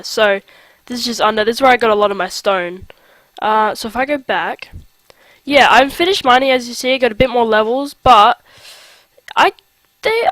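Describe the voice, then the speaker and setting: A man's voice: very high-pitched, a bit bright, no room sound, poor audio. A young man with a nasal, sick-sounding voice, casually narrating his game in a rambling way. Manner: chatty, rambling with fillers. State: nasal from a cold. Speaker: let's play host. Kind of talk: casual gameplay commentary.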